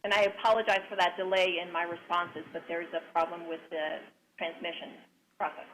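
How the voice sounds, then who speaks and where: A woman's voice: fairly low pitch, little pitch movement, a little fast, fairly bright tone, slight background noise, rough recording. Spanish-native woman panelist. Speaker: panelist, clinical psychologist. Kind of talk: answering a panel question remotely.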